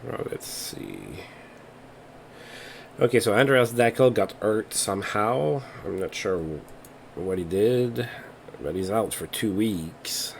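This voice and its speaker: Low-voiced man thinking aloud over a game. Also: slow pace, creaky, pauses often, slight background noise. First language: not English. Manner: thinks aloud while reading game results. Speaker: let's play host. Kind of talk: casual gameplay commentary.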